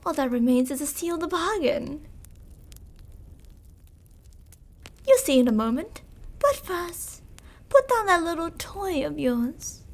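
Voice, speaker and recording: An ancient female vampire in an intimate ASMR roleplay. Her voice sits extremely high and she draws her words out slowly. She is seductive, possessive and cruel, giving orders in a coaxing, controlling way. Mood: cold, menacing. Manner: slow, commanding, coaxing, with whispered endings.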